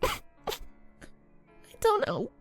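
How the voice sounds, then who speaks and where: A woman's voice: extremely slow, soft background music. A woman voicing a distraught young pony filly, pleading and emotional, in a dramatic audio story. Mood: tearful, desperate.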